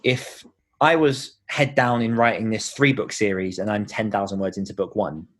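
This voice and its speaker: A man's voice: lowish voice, steady tone, moderate pace. A British man talking in an informal, explanatory way as the guest in a conversational podcast interview.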